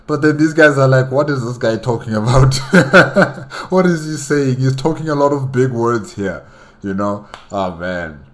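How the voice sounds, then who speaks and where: A man hosting a casual reaction commentary, talking in a halting, repetitive, conversational way. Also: mid-range voice, lively intonation. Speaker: host reacting to a video.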